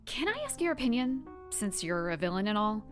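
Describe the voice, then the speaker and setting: A woman playing a guarded, softhearted young woman with superpowers in an audio drama, in a conversational, emotionally open manner. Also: medium pitch, sing-song, a little fast, faint music behind, lightly acted. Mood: hesitant.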